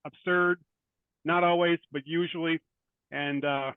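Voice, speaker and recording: A man's voice: fairly high pitch, fairly even intonation, unhurried, smooth, no room sound. Older man on a tinny phone line. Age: 50s or older. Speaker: author being interviewed. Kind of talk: conversational interview answers.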